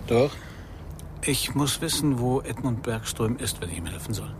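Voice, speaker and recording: An actor playing a sober, persistent investigator in a radio drama, direct and questioning. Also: male voice, medium pitch, animated, normal speed, background noise, close to an everyday voice. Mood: wary. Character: sober, persistent male investigator, quietly probing. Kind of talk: German radio drama acting.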